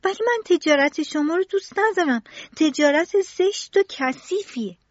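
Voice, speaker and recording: A woman's voice: a little high, very animated, no room sound. A woman voicing an earnest young boy who speaks up with indignation. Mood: indignant. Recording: dull lo-fi dry recording.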